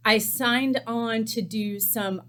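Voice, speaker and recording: A woman's voice: on the high side, steady tone, slow, a bit bright. A woman in her fifties, a chef hosting a cookbook series, talking candidly to a desk webcam in a small cooking studio, with lots of ums. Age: 50s. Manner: chatty and candid, many ums. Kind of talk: casual chat to camera.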